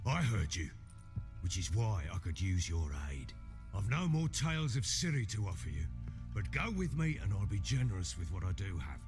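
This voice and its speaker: Casting: gruff, jovial, world-weary baron for fantasy game; low, very varied delivery, music underneath. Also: male voice, very animated, moderate pace. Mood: earnest. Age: middle-aged. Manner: earthy, storytelling. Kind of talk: video game dramatic dialogue.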